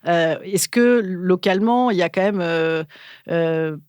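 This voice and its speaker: A woman's voice: fairly low pitch, a little slow, a little mellow, smooth, no room sound, clean studio sound. A woman from Cantal hosting a podcast, asking long, rambling questions in a self-deprecating, giggly way. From Cantal, France. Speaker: podcast host. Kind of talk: casual interview conversation.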